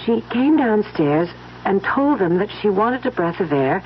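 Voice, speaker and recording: An actress playing a gentle, weary young wife, hesitant and emotional in an old-time radio mystery. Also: female voice, medium pitch, very animated, unhurried. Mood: hesitant, sombre. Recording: dull, noisy old radio recording.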